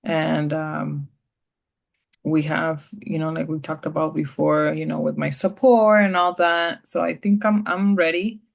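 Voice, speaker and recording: A woman playing a client in a practice therapy session over a video call, soft-spoken with many fillers. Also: low voice, level tone, measured pace, creaky. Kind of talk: role-played therapy session.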